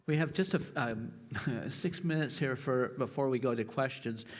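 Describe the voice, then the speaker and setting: A man moderating a conference panel, relaxed and lightly joking as he sets up questions. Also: medium pitch, normal speed, in a large hall.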